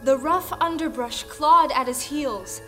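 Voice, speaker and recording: A woman narrating a folktale audio play with deliberate, dramatic storytelling. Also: high-pitched, bright, forceful tone. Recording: music under the voice.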